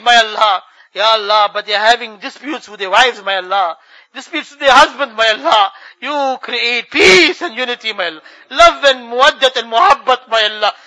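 Very high, bright-voiced man praying fervently. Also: low-quality recording. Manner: pleading, ends each plea with a refrain. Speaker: imam leading supplication. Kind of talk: fervent spoken prayer. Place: mosque.

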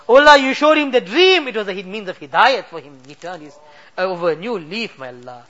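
A man leading an emotional supplication, weeping and pleading, his voice swinging between loud cries and hushed murmurs. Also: sits high, lively intonation, fairly quick, lo-fi sound. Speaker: imam leading a dua.

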